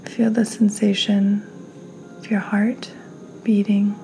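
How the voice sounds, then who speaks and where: A woman hosting and guiding a meditation in a calm, gentle, soothing manner, her soft delivery often slipping toward a breathy near-whisper. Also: mid-range voice, slow, soft background music, poor audio. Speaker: podcast host, meditation guide. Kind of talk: guided meditation, slow and soothing.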